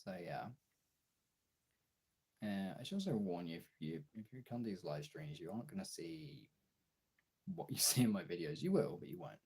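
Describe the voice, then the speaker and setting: Young guy streamer just chatting, lowish mellow voice, bit raspy, super informal. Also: sing-song, moderate pace, long pauses. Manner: informal, chatty, answering chat as he goes. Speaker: streamer and video creator. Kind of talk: casual livestream chat.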